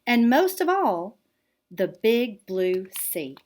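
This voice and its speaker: A woman reading a children's picture book aloud in an expressive, deliberate storytelling manner. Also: on the high side. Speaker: art teacher reading aloud.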